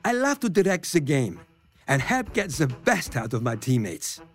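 A man's voice: on the high side, big pitch swings, moderate pace, faint music behind. An actor playing a grown football star who fondly and wryly tells of his boyhood. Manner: fond, wry first-person storytelling.

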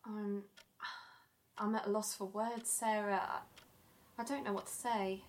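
An actress playing a concerned podcast investigator, tentative and probing, in an audio drama. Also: female voice, mid-range voice, level tone, unhurried, recorded from a distance, natural voice. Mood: stunned. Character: concerned woman podcast investigator questioning witnesses.

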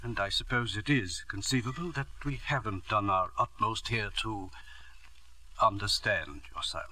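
An actor playing a proud, principled headmaster in a 1940s radio drama, speaking in a formal, stiff manner. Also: male voice, fairly low pitch, moderate pace, sharp tone, poor audio. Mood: stiff, pleading. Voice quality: formal and stiff, growing distraught. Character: proud, principled school headmaster, middle-aged man. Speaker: headmaster character.

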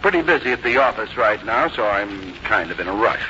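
A man's voice: fairly low pitch, expressive, brisk, bright, forceful tone. An actor playing a middle-aged American businessman son, hearty, reassuring and coaxing, in a 1950s radio drama. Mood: hearty, affectionate. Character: cheerful, placating grown son, busy city businessman. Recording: muffled 1951 radio recording with hiss.

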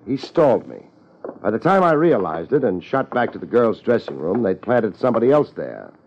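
An actor playing a tough, laid-back private investigator in a 1950s radio drama, terse and wisecracking, with dry narration. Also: male voice, fairly low pitch, big pitch swings, normal speed. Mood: dry, weary. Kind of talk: 1950s radio detective drama acting with first-person narration. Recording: muffled, noisy 1950s radio recording.

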